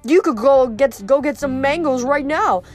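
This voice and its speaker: A woman's voice: sits high, sing-song, unhurried, faint music behind, pitched above the performer's own voice. A woman voicing a timid teenage male satyr in a storybook, stammering, yelping and pleading nervously. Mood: nervous bargaining.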